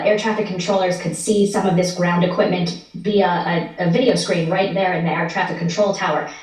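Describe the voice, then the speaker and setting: A woman reporter giving conversational, explanatory answers as a guest in a news interview. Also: lowish voice. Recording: very echoey, distant from the mic.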